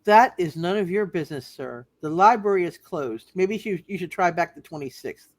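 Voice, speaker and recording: Radio play spinster librarian, female actor: wary, prim, lower register, expressive swings in pitch. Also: normal speed, no room sound. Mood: curt, guarded. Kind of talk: hammed-up live radio play reading.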